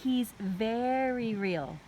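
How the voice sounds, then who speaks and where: Lady doing an eager bold little girl from a kids story, high voice, swoops around. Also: animated, very slow, warm tone, slight background noise. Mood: eager, curious.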